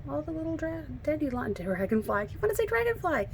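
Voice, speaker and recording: A woman's voice: sits high, sing-song, quick pace, a little hiss or hum. Chatty, slightly froggy woman crafting. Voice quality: slightly froggy, hoarse from allergies. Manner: chatty, self-deprecating, thinks aloud while working. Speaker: card-making demonstrator. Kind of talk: casual craft tutorial chat. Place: home craft table, indoors.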